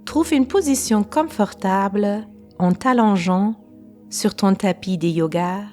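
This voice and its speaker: Slow-paced woman guiding meditation, mellow voice. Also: mid-range voice. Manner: calm, unhurried, pausing between short phrases. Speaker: guided meditation teacher. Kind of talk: slow guided relaxation meditation. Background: faint music.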